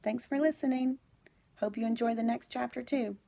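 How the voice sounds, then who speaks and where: A woman teacher reading a children's storybook aloud, phrasing it clearly and expressively for young listeners. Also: a little high, steady tone, moderate pace, dark tone.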